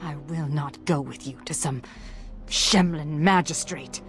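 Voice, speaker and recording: A woman's voice: deep voice, unhurried, soft background music. An actress voicing a fierce, defiant, vengeful female elf in a fantasy video game, with intense delivery. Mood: furious, defiant. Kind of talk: video game character acting.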